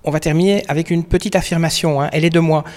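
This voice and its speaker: A male podcast host asks a guest friendly, playful questions and closes with a sign-off to listeners. His voice sits high for a man. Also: fairly even intonation, quick pace, darkish tone. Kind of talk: interview questions and podcast outro.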